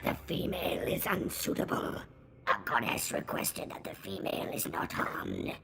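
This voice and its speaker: A woman playing a menacing alien guardian in an audio drama, speaking in stilted, imperious pronouncements with a hissing rasp. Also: deep voice, wildly swooping pitch, normal speed, full character voice. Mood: cold threat. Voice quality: breathy hissing rasp, gravelly. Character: menacing alien guardian serving a goddess, big bug-eyed monster.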